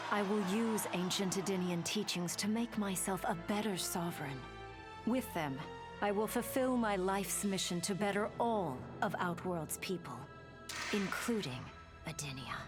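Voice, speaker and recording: An actress playing a proud, dutiful warrior empress, speaking formally and solemnly in a video game monologue. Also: female voice, medium pitch, animated, unhurried, close to an everyday voice. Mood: determined. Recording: music under the voice.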